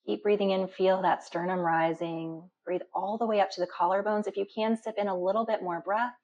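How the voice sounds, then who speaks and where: A woman instructor guiding a deep relaxation meditation in a calm, instructional manner with slow pauses. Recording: dull, muffled lo-fi sound.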